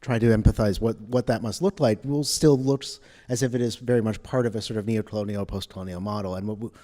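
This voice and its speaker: A man speaking as a museum panelist, earnest and measured. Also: on the low side, steady tone, mellow. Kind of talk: panel discussion. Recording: echoey hall.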